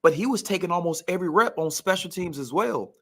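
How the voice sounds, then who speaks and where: A man's voice: sits high, animated, fast talker, a bit bright, husky. Emphatic man telling sports stories casually. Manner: emphatic, repeats phrases for emphasis. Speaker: former pro football player, guest storyteller. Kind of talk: casual sports talk storytelling.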